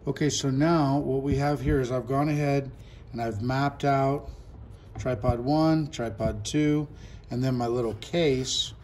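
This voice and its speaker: A man's voice: medium pitch, fairly even intonation, a little slow, a little mellow, slight background noise. A man in his fifties giving a casual, step-by-step product demo in a classroom, talking through each move and repeating himself as he works. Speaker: product reviewer. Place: classroom at a table.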